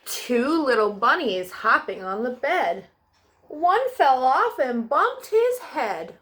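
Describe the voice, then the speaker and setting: Woman, children's storytime host, fairly high voice, very unhurried, sing-song read-aloud, faint background noise on home video. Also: big pitch swings, fairly bright tone.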